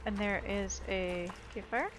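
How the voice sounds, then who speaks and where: A woman's voice: mid-range voice, a little slow, very noisy. A woman giving casual video game commentary, thinking aloud in a chatty, informal way. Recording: loud game music under voice. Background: video game music and effects.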